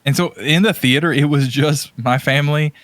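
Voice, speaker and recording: A man's voice: a little high, normal speed, a bit bright, no echo. A man chatting casually as a podcast co-host, telling anecdotes in slangy, emphatic, exaggerated language. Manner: informal slangy speech, emphatic and hyperbolic.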